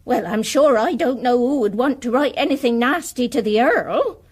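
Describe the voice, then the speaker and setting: Wary, high-voiced former housekeeper. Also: female voice, expressive, normal speed, a little mellow, breathy edge. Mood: guarded. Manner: wary, plain-spoken. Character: guarded, inquisitive working-class former housekeeper and mother. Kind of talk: period radio drama acting.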